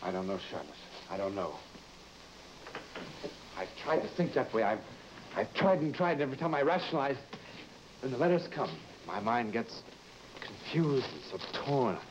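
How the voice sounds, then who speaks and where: An actor playing a haunted, fearful husband in an old-time radio horror drama, speaking in a halting, melodramatic way and repeating words for emphasis. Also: male voice, medium pitch, big pitch swings, close to an everyday voice. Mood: haunted, resigned. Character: tormented husband haunted by dead woman's letters, brooding and fearful. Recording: dull, noisy old radio broadcast recording.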